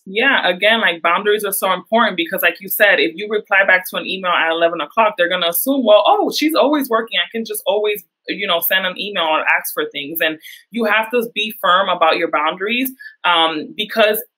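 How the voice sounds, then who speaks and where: A woman's voice: medium pitch, brisk, bright, forceful tone, slightly rough, rough recording. A young woman from the Dominican Republic, talking earnestly as an interview guest over a video call, with lots of filler words. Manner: earnest, uses many fillers. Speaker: guest, entrepreneur.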